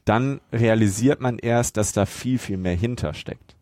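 A man's voice: fairly low pitch, a little slow, mellow, no echo. A man moderating a podcast in a casual conversational style.

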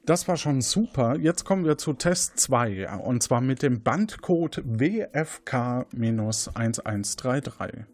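A man's voice: medium pitch, expressive, slow, hardly any pauses, darkish tone, no room sound. A man hosting an audio quiz game, presenting statements and questions to contestants. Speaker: quiz show host.

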